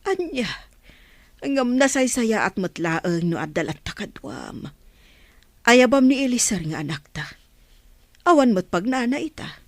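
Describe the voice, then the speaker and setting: Woman playing a worried wife, very expressive. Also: fairly high pitch, unhurried, long pauses, no room sound. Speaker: actress in a radio drama. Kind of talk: Ilocano radio drama acting.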